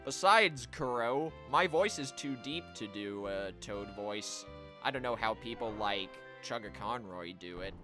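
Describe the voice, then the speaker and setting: A man live streaming a video game, chatting to viewers and commenting in an animated way. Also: lowish voice, unhurried, music in the background. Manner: animated, voice-acts game dialogue.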